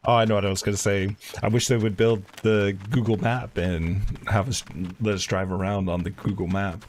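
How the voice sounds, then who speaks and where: A man chatting casually and enthusiastically while livestreaming a video game, rambling quickly. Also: lowish voice, fairly even intonation, a little hiss or hum. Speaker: streamer. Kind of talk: casual gaming livestream chat.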